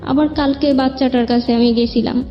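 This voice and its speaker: A woman's voice: sits high, fairly even intonation, fairly quick. A young woman with a Bangladeshi accent giving a plaintive, rambling account of her own life as a guest. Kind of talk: personal spoken account. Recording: long reverb, dull muffled sound, music underneath. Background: background music.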